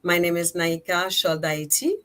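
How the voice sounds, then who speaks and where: Low-voiced middle-aged Haitian-accented woman. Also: level tone, moderate pace, a bit bright. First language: Haitian Creole. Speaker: guest, nonprofit executive director. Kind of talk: conversational interview answers. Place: remote video call.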